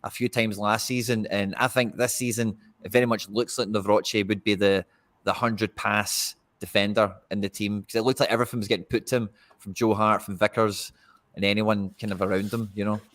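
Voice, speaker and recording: A man's voice: on the low side, brisk. A man co-hosting a fantasy football live stream, casually going through player stats. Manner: steady, reads out player statistics. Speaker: fantasy football podcast co-host.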